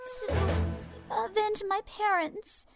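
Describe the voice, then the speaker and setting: A woman voicing a quiet, determined little orphan girl, terse and solemn, in an anime-style drama. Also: very high-pitched, fairly even intonation, takes it slowly, creaky, music in the background, full character voice. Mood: grimly determined.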